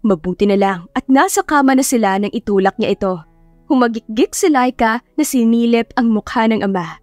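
A Filipino woman narrates a scripted drama in a fairly high voice. The sound is close and dry, with faint music underneath. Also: moderate pace, no echo. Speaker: audiobook narrator. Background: soft background music.

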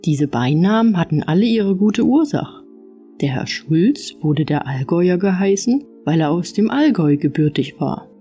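A woman narrating a fairy tale audiobook in an expressive storytelling style. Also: lowish voice, moderate pace, faint music behind.

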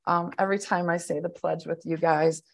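A woman in her thirties with a loud voice, giving an administrator's report at a county board work session over a video call, talking conversationally with many fillers and restarts. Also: lowish voice, flat delivery, fairly quick, vocal fry. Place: indoor office, joining a hybrid meeting. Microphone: webcam on a video call.

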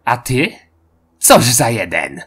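A man's voice: medium pitch, wildly swooping pitch, takes it slowly, fairly bright tone, husky, heavily acted. A man voicing a cocky, sadistic teenage boy killer in an audio horror story. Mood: mocking. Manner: taunting, cocky.

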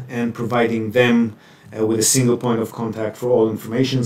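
A man presenting a webinar and answering questions in a measured, explanatory way with hesitant pauses. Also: mid-range voice, darkish tone. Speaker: webinar presenter, property buyer's agent. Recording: roomy echo, distant microphone.

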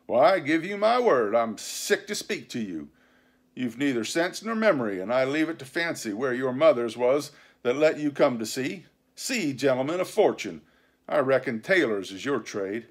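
A man reading a storybook, voicing a sly, scornful pirate captain who speechifies in rough nautical slang with sarcasm and bluster. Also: medium pitch, big pitch swings, moderate pace, voice pushed up. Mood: vehement, contemptuous. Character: sly, scornful, commanding male pirate captain and sea cook.

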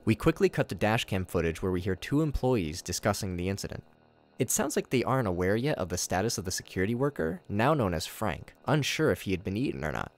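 A man's voice: lowish voice, big pitch swings, quick pace. A man giving a scripted narration that recaps horror footage in a casual, wry way. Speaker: narrator of a video commentary.

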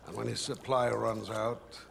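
An actor playing a ruthless, imperious businessman villain in an animated series, with pompous, formal diction. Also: lowish voice, unhurried, heavily acted. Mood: smugly menacing. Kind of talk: dramatic animated series acting. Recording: clearly noisy, hissy background.

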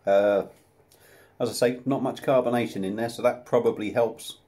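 A man's voice: medium pitch, steady tone, moderate pace, warm tone. A man, casually reviewing a beer to camera at home, chatty and self-deprecating. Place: small room at home, indoors. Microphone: camera close up at desk level.

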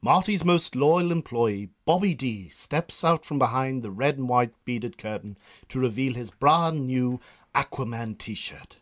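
A man narrating a comic radio drama in a theatrical storytelling style with deadpan asides. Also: medium pitch, unhurried.

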